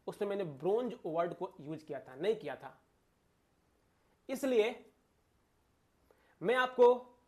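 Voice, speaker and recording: A man with an Indian accent giving an informal exam-prep lecture to viewers, emphatic, using rhetorical questions and repeated phrases. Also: high-pitched. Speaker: exam-prep teacher and channel host.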